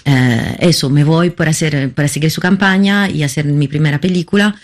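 A woman from Italy, a filmmaker who is not a Spanish speaker, answering interview questions in a halting way, often searching for words and restarting. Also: low voice. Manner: halting, searching for words, many repeated starts. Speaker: documentary filmmaker, interview guest.

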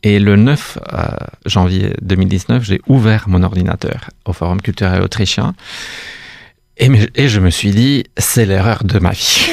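A man, a guest in a radio interview, speaking hesitantly with many restarts and soft laughs. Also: fairly low pitch, measured pace, gravelly, no room sound, studio quality. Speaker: guest, cultural institute staff.

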